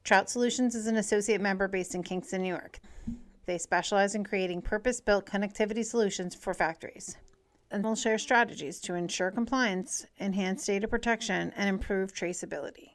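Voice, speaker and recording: A woman hosting a webinar, reading a prepared introduction in a measured way over a call. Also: medium pitch. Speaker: webinar host. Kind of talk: scripted introduction.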